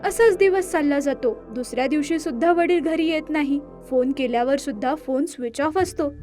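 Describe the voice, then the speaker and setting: A woman narrator reading a scripted moral tale for an animated story. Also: high voice, normal speed, soft background music.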